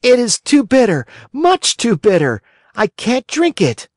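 Man doing a whiny high puppet boy. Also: huge pitch swings, unhurried, no echo, studio quality, exaggerated voice. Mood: whining. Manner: whiny, wheedling. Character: whining naughty little puppet boy.

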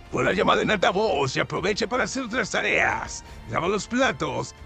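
Gravelly, animated male comedy narrator. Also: fairly high pitch. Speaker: narrator of a comedy survival-tips show. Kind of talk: scripted narration, mock survival guide.

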